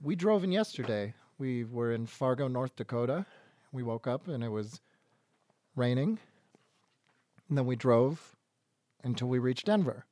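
A man's voice: medium pitch, lively intonation, unhurried, pauses often, dark tone, no echo. A man, a band singer, telling a rambling story with wry humor between songs in a radio studio session.

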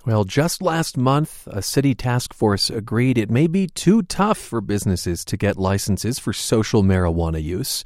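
A man reporting a news piece and interviewing a guest in a measured, inquisitive way. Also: lowish voice, sing-song, a little mellow. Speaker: radio reporter.

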